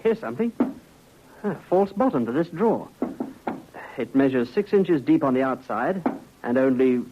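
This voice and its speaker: An actor playing a diligent, deferential police detective in a 1950s radio drama, matter-of-fact and respectful. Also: male voice, medium pitch, expressive, moderate pace, natural voice. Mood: businesslike. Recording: muffled old radio recording with faint noise.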